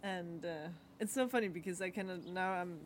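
A young adult woman whose first language is Danish, co-hosting a podcast and giving a relaxed card reading in a halting way, with many fillers and pauses. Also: on the low side, a little hiss or hum. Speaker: podcast co-host. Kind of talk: casual chat, card reading.

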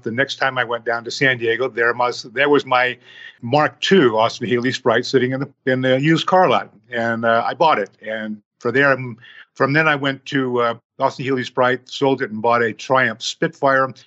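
A man in his sixties, a podcast guest who tells his story slowly, in numbered steps. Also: medium pitch, pushed and bright, lo-fi sound.

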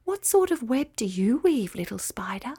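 A woman voicing a kindly, proud female spider in a children's story, quiet and whispery, explaining things gently and patiently. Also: fairly high pitch, very animated, normal speed, breathy edge, pitched above the performer's own voice, heavily acted.

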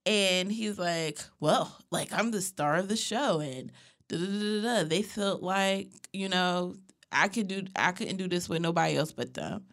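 A woman co-hosting a podcast in casual chat, speaking in a natural monotone, blunt and profane as she lays out a story at length. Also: on the low side, measured pace. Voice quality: self-described natural monotone. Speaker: podcast co-host.

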